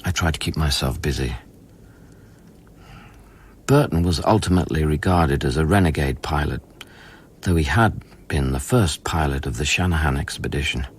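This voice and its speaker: An actor playing a haunted, weary scientist who narrates his memories in a measured, introspective radio play style. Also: very deep voice, husky. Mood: somber, reflective. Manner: brooding, measured, literary.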